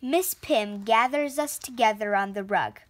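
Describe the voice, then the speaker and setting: A woman reading a children's story aloud with expressive, deliberate phrasing. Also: fairly high pitch. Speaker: storybook reader. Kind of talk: children's read-aloud narration.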